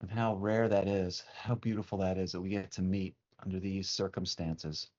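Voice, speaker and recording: A man leading a guided meditation as a teacher, speaking in a soothing, unhurried way with long pauses. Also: low voice, flat delivery, darkish tone. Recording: dull, muffled lo-fi sound.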